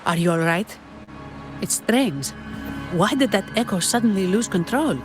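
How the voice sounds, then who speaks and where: A woman's voice: mid-range voice, animated, measured pace, over music, natural voice. A woman voicing a polite, informative young guide in a video game, explaining things courteously. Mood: concerned. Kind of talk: game voice acting.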